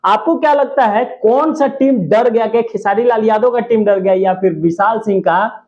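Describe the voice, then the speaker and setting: Very high-pitched man giving emphatic commentary. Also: moderate pace, darkish tone. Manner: emphatic, repetitive, partisan. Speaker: YouTube commentator. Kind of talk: opinionated gossip commentary addressed to viewers. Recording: dull lo-fi audio.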